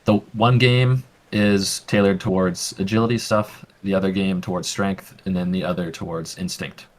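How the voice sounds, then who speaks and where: A man's voice: low-pitched, fairly even intonation, moderate pace. A man working as game master, explaining the rules in a casual way with many fillers. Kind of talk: narrating a tabletop game.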